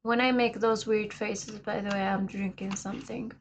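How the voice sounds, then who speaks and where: A woman hosting a laid-back livestream chat, her voice raspy and hoarse today, often laughing between remarks. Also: mid-range voice, fairly even intonation, normal speed, a little hiss or hum. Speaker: livestream host. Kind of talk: casual chat with viewers.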